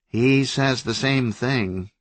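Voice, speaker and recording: An obliging, nervous aide, as a male narrator voices him, speaking in stiff official phrases and a little higher than the narration. He sounds careful and keeps to the script in this audiobook reading. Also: slow, no room sound, lightly acted.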